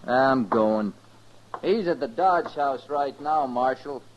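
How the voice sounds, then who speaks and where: An actor playing a cocky, defiant ranch hand with an American accent in a muffled 1950s radio western. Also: male voice, mid-range voice, expressive, takes it slowly.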